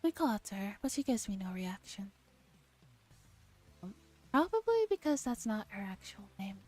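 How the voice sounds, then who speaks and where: A woman streamer reading a visual novel aloud in a soft ASMR style, often dropping to a whisper. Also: medium pitch, very animated, normal speed. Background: background music.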